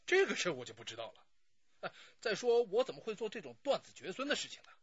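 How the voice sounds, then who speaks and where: A man's voice: high-pitched, wildly swooping pitch, fast, husky, no room sound, dull audio, full character voice. An actor playing a talkative, self-justifying middle-aged man under police questioning in a radio drama, pleading and sighing as he explains. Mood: frank, defensive.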